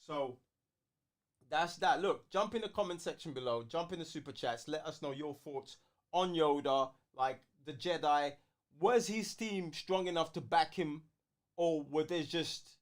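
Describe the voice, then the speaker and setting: A man's voice: a little high, measured pace. A man with a London accent chatting casually as a co-host, using slang and repeating words as he builds a point. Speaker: co-host of a fan talk show. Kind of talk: casual chat.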